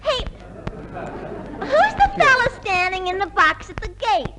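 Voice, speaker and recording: A woman playing a garrulous, excitable working-class mother in a 1950s radio comedy, chattering and repeating herself. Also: very high voice, measured pace, crowd noise, full character voice. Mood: cheery, nosy. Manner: chattering, tags sentences with repeats. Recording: vintage radio recording with faint noise.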